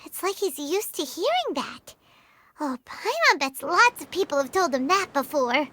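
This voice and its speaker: Tiny floating sidekick, chatty, third-person talker, very high and lively. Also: female voice, moderate pace, full character voice. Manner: talks of herself in third person, lively and squeaky. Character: tiny floating female companion sprite, chatty and excitable. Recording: game cutscene with loud music behind.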